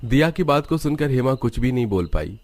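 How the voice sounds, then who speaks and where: A man's voice: mid-range voice, expressive, brisk, darkish tone. A man narrating a scripted cartoon village story.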